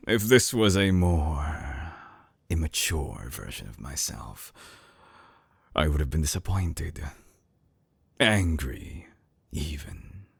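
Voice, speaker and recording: A man playing an obsessive, calculating mad-scientist villain in an intimate audio drama, coaxing in a husky half-whisper with slow, silky menace. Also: big pitch swings, no echo, full character voice. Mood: controlled, calculating. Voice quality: very deep, gravelly, husky, breathy half-whisper. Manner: slow, deliberate, silky menace with lingering pauses. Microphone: close binaural microphone, very dry.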